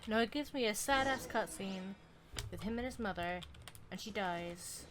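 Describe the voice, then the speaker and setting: A woman's voice: mid-range voice, moderate pace. A woman game streamer giving casual, blunt reaction commentary in an informal way. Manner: informal, blunt, mildly sweary. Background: loud game music, keyboard clicks.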